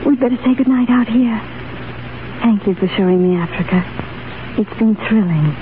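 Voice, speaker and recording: A woman's voice: a little high, normal speed, background noise, close to an everyday voice. Romantic ingenue: actress as genteel, adventurous young lady, refined period radio delivery, narrow old broadcast sound. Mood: playful, intrigued. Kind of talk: 1930s radio drama acting. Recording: vintage 1930s radio broadcast, band-limited, orchestral music.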